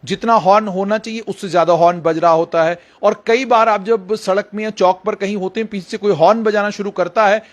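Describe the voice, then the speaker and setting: A man's voice: sits high, steady tone, a little fast, hardly any pauses, highs cut off. A man explaining news directly to viewers as a reporter, emphatically repeating phrases.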